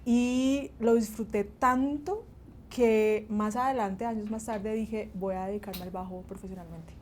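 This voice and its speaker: A middle-aged Colombian woman, a bassist and music teacher, talking about her life in an interview, laughing often and pausing between phrases. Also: fairly even intonation, a little mellow, a little hiss or hum.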